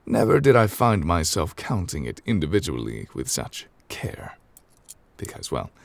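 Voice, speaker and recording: A man playing an ancient, courteous, absent-minded god in an ASMR roleplay, speaking formally in a low, gravelly voice with hesitant pauses. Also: dry recording, full character voice. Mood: philosophical, musing. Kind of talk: ASMR audio roleplay, improvised in-character monologue. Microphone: close, dry microphone.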